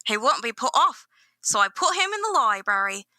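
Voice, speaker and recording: A woman's voice: a little high, very animated, normal speed, pushed and bright, no room sound, clean studio sound, heavily acted. A woman acting a deferential, chatty London housekeeper in a radio play, with folksy turns of phrase. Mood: fussing.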